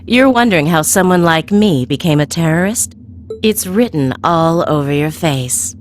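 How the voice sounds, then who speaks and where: Coy, teasing, low-voiced woman villain. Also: animated, normal speed, soft background music. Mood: knowing, guarded. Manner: coy, teasing, sing-song. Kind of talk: video game voice acting.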